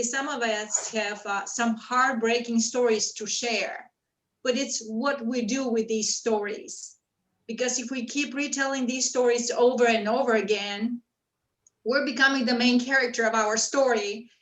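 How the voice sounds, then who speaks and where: A woman from Bulgaria speaks in a fairly high voice, earnest and measured. She stresses her points in steady lists. She sits back from the mic of a video call in an echoey room. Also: far from the mic. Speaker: women's empowerment coach. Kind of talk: prepared panel introduction.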